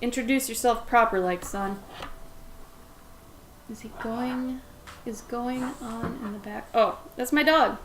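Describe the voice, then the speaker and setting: A young woman with a somewhat raspy voice, chatting casually while she draws on a livestream and talks to her dog and to viewers. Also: fairly high pitch, slow pace, pauses often, heavy background noise. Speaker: livestreaming pet portrait artist. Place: home art studio with plastic bins.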